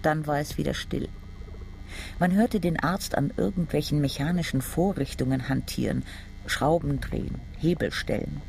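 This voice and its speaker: Gravelly male narrator, higher-pitched. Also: normal speed, darkish tone. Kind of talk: literary scripted narration for radio drama.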